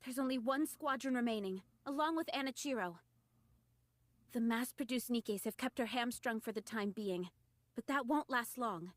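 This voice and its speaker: A woman's voice: on the high side, moderate pace, dry recording, close to an everyday voice. A woman playing a composed, commanding young android squad leader in an anime-style game. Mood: grave, resolute. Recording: music playing behind the voice.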